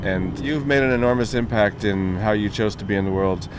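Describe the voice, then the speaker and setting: A man from the American Southwest hosts a friendly, chatty interview. His voice sits low. Traffic and street noise run clearly underneath. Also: a little fast. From Southwest US, northern New Mexico and southern Colorado. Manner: friendly, chatty, laughs easily. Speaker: interview host. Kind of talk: casual interview chat. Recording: noisy background with traffic.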